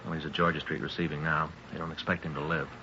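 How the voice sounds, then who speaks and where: A man's voice: rumbling low, level tone, fast, bright, forceful tone. A man playing a matter-of-fact police detective in a 1950s radio drama, giving terse, factual reports. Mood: grim, factual. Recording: dull, noisy 1950s radio recording.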